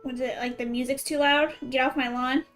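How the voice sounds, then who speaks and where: A woman's voice: sits high, steady tone, brisk, fairly bright tone, soft background music. A woman doing casual live gameplay commentary as a streamer, with playful, reactive asides. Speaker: game streamer.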